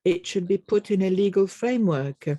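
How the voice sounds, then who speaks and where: A conference interpreter giving a simultaneous English rendering of a panel discussion, halting often with fillers and pauses. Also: medium pitch, animated, dark tone, dry recording.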